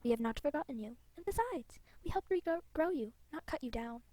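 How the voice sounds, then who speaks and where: A woman's voice: sits high, expressive, brisk, dark tone, no echo. A woman playing an earnest, eager young female rider hero from a fantasy game.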